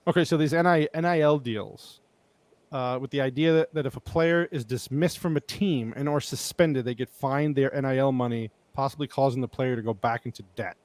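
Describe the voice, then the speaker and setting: A man's voice: medium pitch, brisk, no echo. A man co-hosting a podcast in casual, joking chat, rambling and swearing freely as he talks. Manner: animated, rambling, profane. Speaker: podcast co-host.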